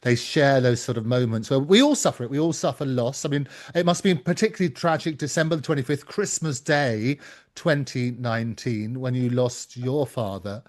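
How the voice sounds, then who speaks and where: A man's voice: medium pitch, moderate pace, a little mellow. British guy hosting an interview show, mid-range voice, earnest, leans on his words. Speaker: talk show host. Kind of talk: conversational interviewing.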